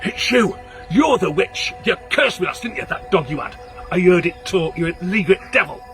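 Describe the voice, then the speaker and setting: Male narrator playing a terrified old peddler, high and shouting. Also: expressive, fairly quick, creaky, faint music behind, heavily acted. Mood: frantic. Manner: shouting, fearful, Northern dialect.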